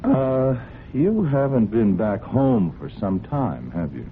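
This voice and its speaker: A man's voice: medium pitch, very animated, slow pace. An actor playing a folksy police sergeant in a radio drama, hesitant and apologetic as he politely probes with mild questions. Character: folksy, polite but quietly probing male police sergeant. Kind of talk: old-time radio drama acting. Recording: muffled, hissy old radio drama recording.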